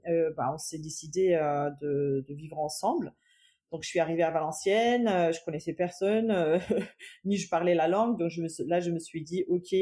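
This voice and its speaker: A woman's voice: lowish voice, dark tone. A woman in her late thirties, from Germany with German as her first language, speaking French in a casual interview with frequent hesitations. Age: 30s. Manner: hesitant, many fillers and restarts, light laughs. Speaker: guest, entrepreneur.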